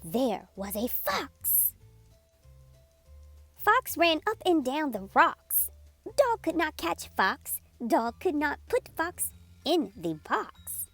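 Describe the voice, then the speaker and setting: A woman narrating a children's read-aloud in a deliberate, sing-song way as storytime host. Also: high voice, sing-song, a bit bright, dry recording, soft background music.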